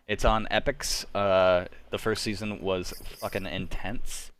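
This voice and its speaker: A man's voice: low voice, steady tone, unhurried, background noise. A young adult man hosting a casual, informal group chat on a livestream, speaking loosely with the odd swear word. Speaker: host of a livestream show.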